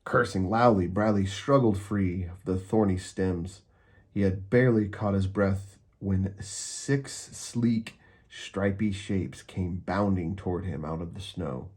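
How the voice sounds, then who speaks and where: A man narrating a children's storybook aloud, expressively and with deliberate, dramatic emphasis. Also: low voice, a little mellow.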